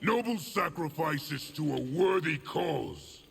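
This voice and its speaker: Haughty, sharp-voiced rebel general. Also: male voice, a little high, expressive, slow pace, gravelly, faint music behind, full character voice. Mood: haughty, menacing. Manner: haughty, forceful. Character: haughty, highborn rebel general villain, coldly righteous. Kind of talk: video game villain dialogue. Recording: long hall reverb, faint noise.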